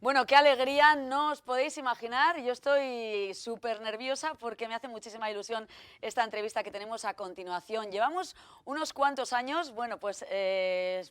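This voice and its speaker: A woman's voice: on the high side, normal speed, a bit bright, a little hiss or hum. A woman hosting a regional TV magazine, chatting through an interview with lots of fillers and self-corrections. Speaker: TV show host.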